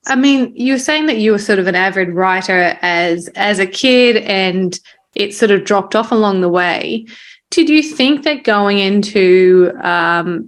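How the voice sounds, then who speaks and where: A woman interviews a guest as a podcast host. Her voice sits at a medium pitch, and she talks casually, affirming and encouraging as she goes.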